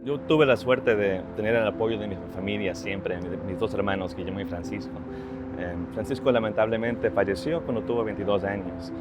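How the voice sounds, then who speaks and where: A man's voice: on the low side, steady tone, normal speed. A man in his thirties, born in Ecuador, speaking earnestly about his work and family in a personal interview, often restarting his phrases. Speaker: social media strategist. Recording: clear music bed behind voice. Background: music.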